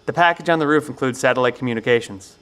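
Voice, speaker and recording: A man's voice: mid-range voice, fast talker, fairly bright tone, clear, resonant tone, close to an everyday voice. Casting: male actor for earnest professor and survivor leader, mid-range voice, precise and inquisitive, audio drama. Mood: curious, probing. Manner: probing, precise questioning.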